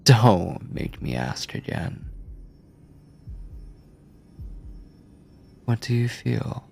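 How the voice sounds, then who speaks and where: A man playing an obsessive mad scientist captor in a horror ASMR roleplay, coldly doting and clinical, with condescending endearments, theatrical pauses and a breathy, husky voice. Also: lowish voice, sing-song, darkish tone, slight background noise, exaggerated voice. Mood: controlling, menacing. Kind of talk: horror ASMR roleplay, intimate monologue to listener.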